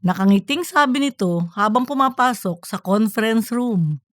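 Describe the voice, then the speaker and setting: A woman reading scripted comedic fiction as an audiobook narrator, in a lively storytelling way. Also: a little high, normal speed, dry recording.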